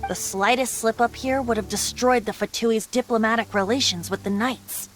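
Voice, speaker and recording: A voice actor playing a carefree young bard who is secretly a wind god, light, playful and lilting, over game music. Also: male voice, extremely high pitch, steady tone, normal speed, a bit bright. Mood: serious, musing. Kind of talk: video game voice acting. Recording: game cutscene with clear music.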